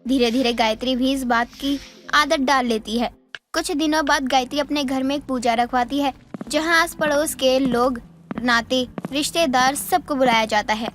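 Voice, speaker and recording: A woman's voice: high voice, moderate pace, a bit bright. A woman narrator reading a scripted moral story. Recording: music under the voice.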